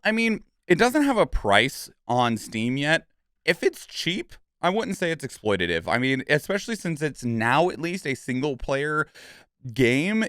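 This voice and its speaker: Clear-voiced man rambling through casual reaction commentary. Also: mid-range voice, a bit bright, no room sound, studio quality. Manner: rambling, thinking aloud, conversational. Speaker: streamer and reaction video host.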